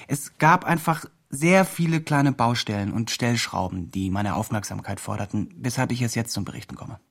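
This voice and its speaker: An actor plays a well-meaning but self-important male helper in a radio play. The voice is husky and of middling pitch. He narrates like someone filing a report, careful and reflective. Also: lightly acted.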